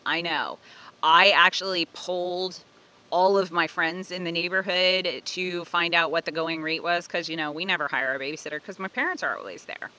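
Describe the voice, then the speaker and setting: A woman in her forties talks casually into a phone held at arm's length, with a bright, forward tone. She is chatty and animated, often laughing at herself. She is recording outdoors in a sunny park. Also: fairly low pitch, low-quality recording. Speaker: family budget vlogger. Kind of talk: casual vlog talk to camera.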